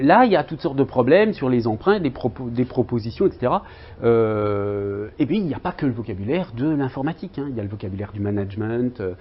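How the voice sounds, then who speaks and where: A male lecturer leads a seminar discussion, stopping and restarting often and filling gaps with hums. His voice sits at an ordinary middle pitch. The sound is slightly dull and lo-fi, as if from a modest classroom recording. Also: husky. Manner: hesitant, many fillers and restarts. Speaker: university lecturer in linguistics. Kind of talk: seminar talk with students. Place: university lecture room.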